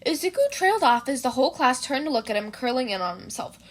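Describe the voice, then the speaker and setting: A woman's voice: sits high, sing-song, quick pace. A woman podfic reader narrating fan fiction and chatting informally about it in a slangy way.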